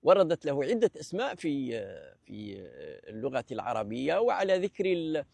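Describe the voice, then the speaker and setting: A man's voice: mid-range voice, wildly swooping pitch, vocal fry, dark tone, no echo. A man from Algeria giving an unscripted lecture, explanatory and hesitant, with many fillers and restarts. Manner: measured, explanatory. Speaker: presenter of a language talk series.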